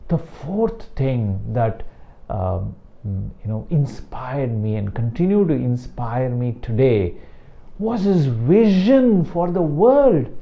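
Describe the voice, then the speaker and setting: Slow gravelly older Indian man. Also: medium pitch, very animated, lo-fi sound. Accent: Indian English (Tamil). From Chennai, South India. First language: Tamil. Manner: slow, deliberate, emphatic on key words. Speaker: meditation teacher. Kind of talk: reflective talk to camera.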